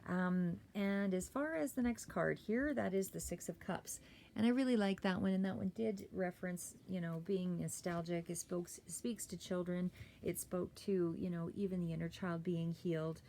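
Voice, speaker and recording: Brief: hoarse woman tarot reader, low gravelly mellow voice, rambling delivery, faint hum behind. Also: moderate pace. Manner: rambling, many fillers and self-corrections. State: hoarse, clearing throat. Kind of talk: casual tarot reading monologue.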